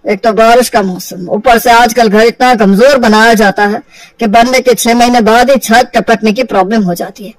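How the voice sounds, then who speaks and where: A woman's voice: on the high side, fairly even intonation, normal speed, no echo, far from the mic, voice pushed down, natural voice, poor audio. A woman voicing a reassuring, matter-of-fact male family doctor who explains things in an acted storybook. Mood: calm, explaining. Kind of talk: acted Hindi audio storybook.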